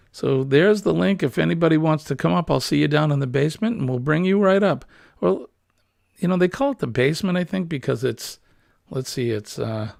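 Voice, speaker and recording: A man hosting a casual morning livestream, reading viewer comments in a relaxed, rambling way with lots of ums, his voice husky and a little smoky, recorded on a built-in computer mic. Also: mid-range voice, no room sound, clean studio sound. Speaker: livestream host. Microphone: built-in computer webcam mic.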